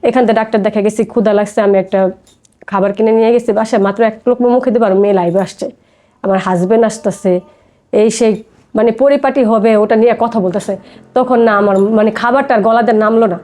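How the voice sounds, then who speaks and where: A woman's voice: medium pitch, warm tone. A woman with a Bangladeshi accent telling her personal story casually as a guest, often acting out quoted dialogue.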